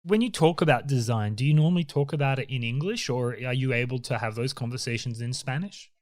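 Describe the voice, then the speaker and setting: A man hosts an interview podcast in a mid-pitched voice with a dry, close sound. He asks long, curious questions and listens with short murmurs. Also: fast talker, no room sound. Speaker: podcast host. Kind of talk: interview host.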